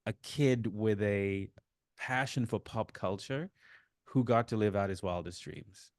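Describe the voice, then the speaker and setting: A man in his fifties, originally from Sri Lanka, telling his life story as an interview guest, with relaxed fillers. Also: lowish voice, measured pace, no room sound, studio quality. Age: 50s. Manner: warm storytelling, reflective, many fillers. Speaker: guest, author. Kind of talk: casual interview chat.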